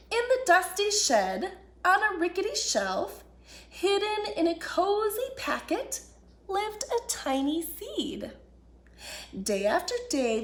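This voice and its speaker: A woman hosting a children's story time, talking and reading aloud in an expressive, deliberate way. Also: high voice. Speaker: children's and families director reading stories. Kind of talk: warm greeting and read-aloud children's storytelling.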